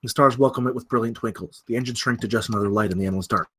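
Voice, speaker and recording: A man's voice: medium pitch, very fast, a bit bright, slight background noise. A man narrating scripted stage directions at a film table read.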